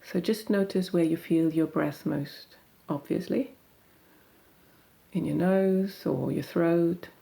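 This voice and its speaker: A woman guiding a meditation in a gentle, unhurried, soothing manner, leaving long pauses between phrases. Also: lowish voice, gravelly. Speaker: meditation guide. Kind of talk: guided meditation.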